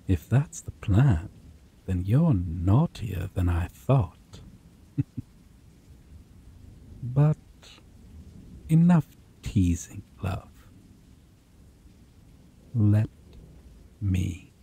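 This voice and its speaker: A man voicing a possessive, predatory male vampire in an ASMR roleplay, teasing and intimate, with low, purring chuckles and sudden whispers. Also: lively intonation, vocal fry, husky, heavily acted. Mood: teasing, menacing. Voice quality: low, slow, purring delivery with soft chuckles.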